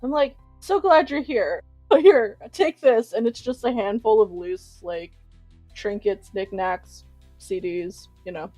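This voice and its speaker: A woman's voice: medium pitch, takes it slowly, warm tone, dry recording, soft background music. A woman playing a tabletop role-playing game, chatting casually and improvising playfully.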